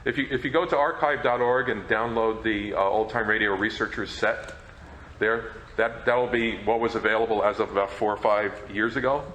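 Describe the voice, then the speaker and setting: A man with a lowish voice gives informal explanations as a panel presenter. The room echoes noticeably and there is faint noise around him. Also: fairly even intonation, normal speed, a bit bright. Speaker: panel presenter, radio program collector. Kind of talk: informal explaining in a question-and-answer session. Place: echoey convention meeting room. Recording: roomy echo with faint noise.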